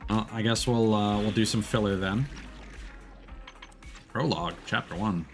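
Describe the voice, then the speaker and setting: Low-voiced man streaming a game over music. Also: a little slow. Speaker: live streamer. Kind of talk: casual gameplay commentary, reading game dialogue aloud. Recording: music behind the voice.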